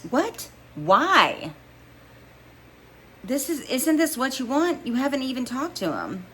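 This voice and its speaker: Female reader as supportive, pushy gal pal in romance fiction, exclamatory and teasing, raised pitch. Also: huge pitch swings, takes it slowly, fairly bright tone, husky, heavily acted. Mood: incredulous, urging. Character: excitable, supportive female best friend in a romance novel.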